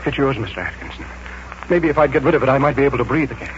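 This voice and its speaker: An American actor playing an agitated, obsessed man in a 1940s radio drama, speaking haltingly and insistently. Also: medium pitch, slight background noise, close to an everyday voice. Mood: troubled, uneasy. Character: agitated, obsessed man haunted by a strange premonition. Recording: dull 1940s radio recording, loud music.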